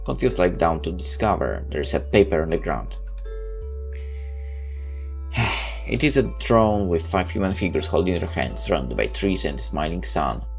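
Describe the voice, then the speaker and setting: Male gamer, deep low voice, dramatic story read-aloud, wry asides, occasional stumbles, guitar game music behind. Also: expressive, moderate pace, vocal fry, pauses often. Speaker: gamer reading a visual novel aloud. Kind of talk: let's play read-aloud with joking asides.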